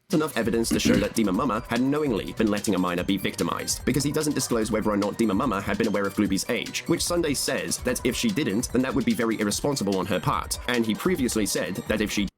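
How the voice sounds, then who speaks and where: A man's voice: lowish voice, lively intonation, fast, hardly any pauses, soft background music. A man reading scripted narration as the narrator of a video essay.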